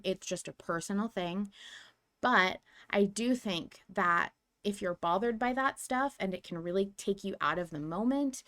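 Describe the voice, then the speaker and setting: A woman's voice: medium pitch, fairly bright tone. A young adult woman co-hosting a casual podcast, chatty and self-interrupting, restarting her sentences and laughing often, talking into a desk mic at home. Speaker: podcast co-host.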